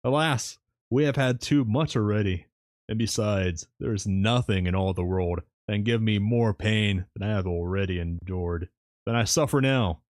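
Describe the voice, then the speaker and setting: A man reading aloud and voicing a brave, grief-stricken young woman in a storybook, pitching his voice up for her. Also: moderate pace, dry recording, studio quality, natural voice. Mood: sorrowful resolve.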